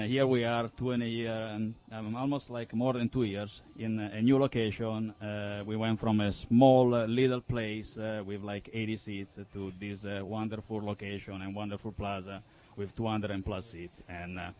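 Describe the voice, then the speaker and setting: Halting low-voiced Italian man. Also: fairly even intonation, a little mellow. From Milan, northern Italy. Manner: halting, searching for words. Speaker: restaurateur, interview guest. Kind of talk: unscripted interview answers.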